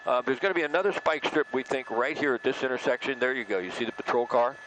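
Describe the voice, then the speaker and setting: American man reporting live over clear background noise. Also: mid-range voice, quick pace. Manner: conversational play-by-play, explanatory. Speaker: news helicopter reporter. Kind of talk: live breaking news commentary. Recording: noisy outdoor vehicle feed.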